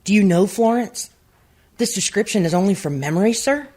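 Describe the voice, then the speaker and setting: A woman's voice: medium pitch, animated, a little fast, darkish tone, lightly acted. A woman playing an earnest, deferential young FBI agent in a radio comedy. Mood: earnest, polite.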